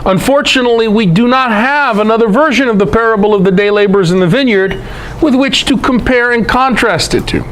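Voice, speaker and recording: A man's voice: very high voice, a little fast, a bit bright, a little hiss or hum, poor audio. A man giving a conversational Bible lecture to a group, emphatic, posing rhetorical questions.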